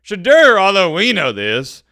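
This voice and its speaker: A man hosting a reaction video, swinging lively through his pitch with a bright, forceful and very clear voice. He is slangy and opinionated, talking casually to viewers. The sound is dry and close. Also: a little slow, no echo.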